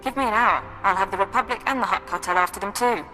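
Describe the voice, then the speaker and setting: A woman's voice: medium pitch, fast, over music, lightly acted. Woman as a businesslike intelligence operative. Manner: crisp, businesslike briefing. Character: efficient, resolute female intelligence operative. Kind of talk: scripted sci-fi game acting.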